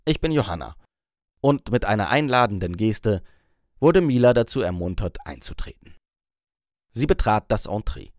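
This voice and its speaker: A man's voice: on the low side, lively intonation, moderate pace, no room sound, studio quality. A man reading a story aloud as an audiobook narrator in scripted storytelling style.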